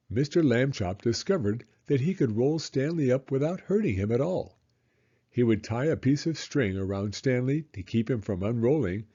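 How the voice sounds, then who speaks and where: A man reading a children's story aloud as an expressive narrator who acts out the dialogue. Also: mid-range voice, slightly rough, dull audio.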